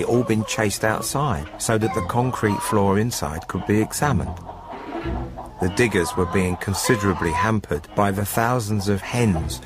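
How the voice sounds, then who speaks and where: Deep-voiced, smug murderous farmer played by a man. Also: natural voice. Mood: wryly amused. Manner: measured, formal, drily smug storytelling. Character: smug male poultry farmer, coolly confessing murderer. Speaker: first-person narrator of a radio drama. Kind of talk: scripted dramatic monologue, radio drama.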